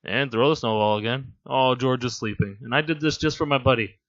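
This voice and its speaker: Hoarse male game commentator, casual and amused, bright-edged voice, muffled lo-fi recording. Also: mid-range voice, a little fast. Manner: rambling, amused and self-deprecating. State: hoarse from laryngitis. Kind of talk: casual gameplay commentary voice-over.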